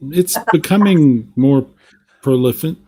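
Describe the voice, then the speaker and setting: A man's voice: a little high, lively intonation, a little slow, crowd noise, rough recording. A man from Texas co-hosting a podcast, chatting casually in a wry, jokey manner. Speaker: podcast co-host. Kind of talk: casual joking chat.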